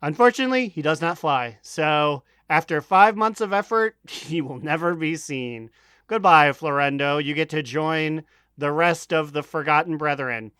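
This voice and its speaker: A man with a fairly high, very smooth voice hosts a casual podcast. He is jokey and sweary, and the sound is dry and close. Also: moderate pace, sharp tone, dry recording. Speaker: podcast host. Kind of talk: casual chat.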